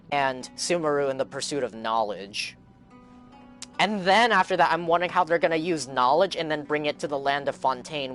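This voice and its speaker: A man's voice: a little high, a bit bright. A man livestreaming a game, chatting casually and rambling as he muses aloud to his viewers. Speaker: game streamer. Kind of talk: casual livestream chat while playing.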